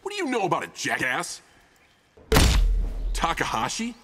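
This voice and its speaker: An actor playing a brash, foul-mouthed, casual vigilante in a fighting game. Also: male voice, fairly high pitch, huge pitch swings, normal speed, long reverb tail, heavy background noise. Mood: brash, cocky. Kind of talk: fighting-game character dialogue.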